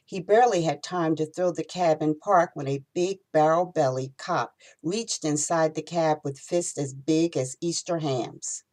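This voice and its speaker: A woman reading her own novel aloud in expressive storytelling narration. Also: sits low, measured pace, hardly any pauses.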